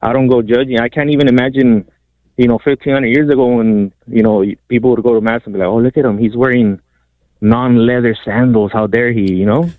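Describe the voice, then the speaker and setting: A middle-aged man from Mexico calls in from his car, talking in a halting, friendly, self-deprecating way. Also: medium pitch, steady tone, dry recording. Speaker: caller. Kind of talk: casual phone-in chat. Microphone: phone. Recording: tinny phone line.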